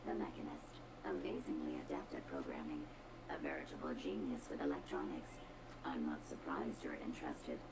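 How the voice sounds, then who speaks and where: A haughty female robot from a video game, speaking extremely low through a robotic filter. She delivers formal, precise lines with cold contempt for humans. The audio is very noisy. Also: animated, normal speed. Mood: cool disdain. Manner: disdainful, precise, formal diction. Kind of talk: video game character dialogue.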